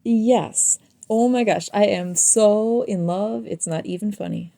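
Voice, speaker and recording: A woman's voice: medium pitch, slow pace, creaky, warm tone, no echo, clean studio sound. A woman playing an easygoing, lovestruck space crew member in an audio comedy, casual and agreeable. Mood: smitten. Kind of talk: audio comedy drama dialogue.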